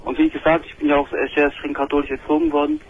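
A man calling into a radio talk show, speaking in an excited, halting way and often repeating himself. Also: on the high side. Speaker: caller. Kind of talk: phone-in call. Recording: narrow telephone line.

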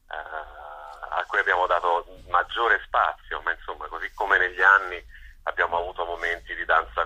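A man with a low-pitched voice and a very sharp, bright edge answers interview questions. He speaks off the cuff, haltingly, pausing often as he looks for the right word. The tone is noticeably rough and husky. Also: dull audio. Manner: hesitant, searching for words with many pauses. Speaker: festival organizer being interviewed. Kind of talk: unscripted interview.

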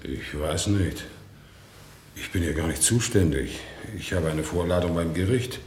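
An actor in a 1960s German radio play playing a wronged, humble organ-grinder with a halting, plaintive manner. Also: male voice, a little mellow. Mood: bewildered, helpless. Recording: roomy 1960s radio recording with rumble.

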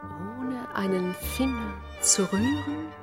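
A woman performing solemn, poetic narration in a radio play, speaking deliberately. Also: a little high, expressive. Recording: loud music behind the voice.

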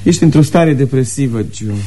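An actor playing a gentle young man in a radio play, solicitous and softly insistent, fussing like an older sibling. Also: mid-range voice, close to an everyday voice. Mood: gently insistent. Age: young adult. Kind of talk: radio theatre acting. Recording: noisy radio drama recording with hum.

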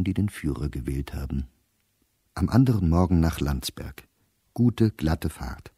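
A middle-aged male diarist with a very low, dark voice. He reads his entries for a radio play in measured, clipped phrases, precise and dryly wry, with a husky edge. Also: no room sound, clean studio sound, exaggerated voice. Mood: dry, sardonic, then wry, reflective. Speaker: actor reading a diary. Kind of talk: literary diary narration in a radio play.

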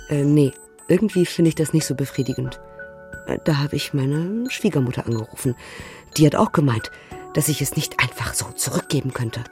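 A woman's voice: low voice, a little mellow. A woman playing a German mother in China, recounting a family quarrel conversationally in an audio documentary drama, acting out the dialogue. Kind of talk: documentary radio drama monologue, conversational storytelling.

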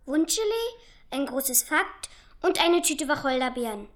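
A woman's voice: high voice, unhurried, a bit bright. A female performer playing a young village girl running errands in a radio play, speaking plainly and artlessly. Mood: plain, polite. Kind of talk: naturalistic radio drama dialogue.

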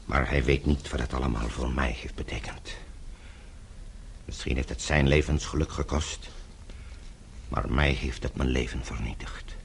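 An actor playing a weary, bitter older politician from behind the Iron Curtain in a radio play, brooding and confessional. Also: male voice, rumbling low, fairly even intonation, moderate pace, slight background noise. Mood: bitter, anguished. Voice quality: gravelly, husky. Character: weary, bitter older Eastern Bloc politician, guilt-ridden. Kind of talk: radio drama acting.

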